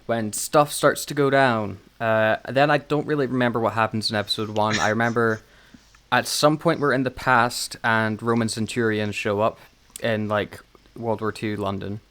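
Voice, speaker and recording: A man's voice: on the low side, steady tone, normal speed, fairly bright tone. A man co-hosting a casual podcast, talking in a rambling, scatterbrained way and swearing freely. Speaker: podcast co-host.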